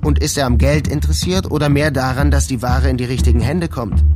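A man plays an insistent German journalist in a radio drama. He is terse and probing, with short questions in a mid-range voice. Music runs clearly behind him. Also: mid-range voice, fairly even intonation, a little fast, natural voice.

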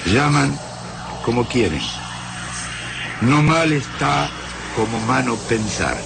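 An actor playing a cold, methodical alien captor in a science fiction radio drama, speaking solemnly in halting, broken syntax. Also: male voice, medium pitch, expressive, vocal fry, background noise. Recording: music behind the voice.

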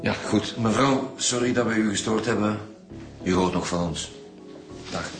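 A man's voice: fairly low pitch, normal speed, music in the background, natural voice. A man with a Flemish accent playing a direct, businesslike police detective in a radio drama. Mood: polite. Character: brisk, businesslike male police detective in charge.

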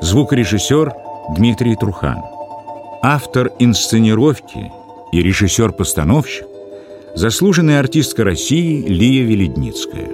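A male announcer reads formal programme credits. His voice is fairly low with very varied intonation, and music plays clearly behind him. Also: sing-song, unhurried. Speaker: radio announcer. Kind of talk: formal scripted credits reading.

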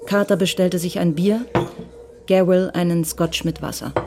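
A woman reading scripted narration for a radio crime drama in a composed, measured way. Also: on the low side, steady tone, background noise.

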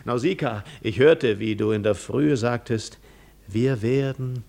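Weary proud seafarer, mid-range voice, reflective, theatrical, poetic, vintage 1950s radio drama. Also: male voice, mid-range voice, unhurried, creaky, darkish tone. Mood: anguished, brooding.

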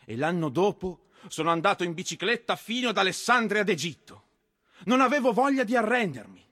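A proud, defiant soldier in his thirties, tells his story in a declamatory theatrical monologue. The voice is high and rough-edged, recorded dry with faint music behind it. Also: expressive, brisk, bright, forceful tone, no room sound, exaggerated voice. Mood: defiant pride. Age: 30s. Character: proud one-legged Roman bersagliere soldier, a ghost recounting his death.

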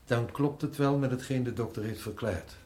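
An actor playing a sober, authoritative prison director in a radio play, speaking in a measured, formal way. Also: male voice, medium pitch, darkish tone, lightly acted. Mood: formal, explanatory.

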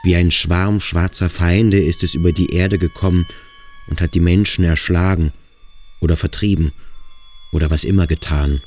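A man in a radio play acting a brooding, dreamy schoolboy who muses in deliberate, poetic phrases. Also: sits low, fairly even intonation, a little mellow. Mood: hushed, brooding. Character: brooding, dreamy adolescent schoolboy lost in his sensations. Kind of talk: German radio play acting, introspective monologue and dialogue.

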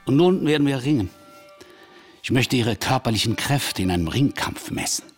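An actor playing a self-assured, probing palm-reading analyst who speaks in deliberate, authoritative pronouncements in a radio play. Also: male voice, medium pitch, lively intonation, soft background music, lightly acted. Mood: commanding, probing.

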